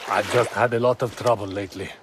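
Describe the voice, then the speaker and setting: An actor playing a drunk, forgetful slave owner who rambles evasively in a video game cutscene, sounding woozy. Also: male voice, on the low side, steady tone, fast, background noise, lightly acted. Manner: rambling, evasive, theatrical exclamations. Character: drunk, forgetful, boastful-whining male slave owner in historical Iraq.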